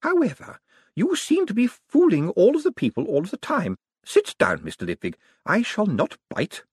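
A man voicing a feisty, blunt old woman who is gleeful and mildly cruel, with a high, bossy delivery. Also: wildly swooping pitch, normal speed, slightly rough, no room sound, clean studio sound, heavily acted. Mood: teasing.

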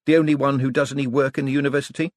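A man playing a sceptical, sarcastic man in an audiobook, firing blunt, quick-witted questions. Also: mid-range voice, little pitch movement, dry recording, studio quality, voice pushed up, lightly acted. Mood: probing. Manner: sardonic, blunt short questions.

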